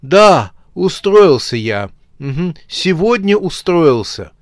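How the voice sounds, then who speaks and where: A man's voice: a little high, very animated, slow pace, no echo, voice pushed up. An unbothered corpse acted out by a male narrator in a spooky comic storybook. He talks in a raised, carrying, conversational voice, like someone on a phone, with mild complaint and a dry sound. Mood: contented. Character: matter-of-fact male corpse chatting on the phone.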